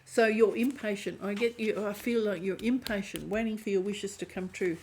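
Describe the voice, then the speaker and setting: A woman giving a chatty tarot reading to camera, hoarse and often clearing her throat as her voice fades. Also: mid-range voice, steady tone, normal speed, darkish tone, a little hiss or hum, low-quality recording. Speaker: tarot reader.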